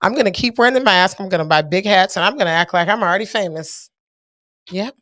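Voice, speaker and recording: A woman's voice: mid-range voice, fast, a bit bright, no room sound, clean studio sound. An American woman in her thirties chatting casually as a podcast host, candid, slangy and freely swearing. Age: 30s. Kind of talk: casual chat.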